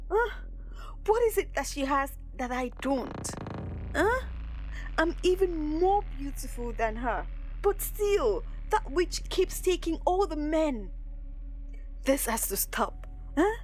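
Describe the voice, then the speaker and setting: A woman's voice: very high-pitched, pitched above the performer's own voice, heavily acted, poor audio. A woman storyteller playing a jealous, scheming young village maiden in a folktale, with an exclamatory, emotional way of talking.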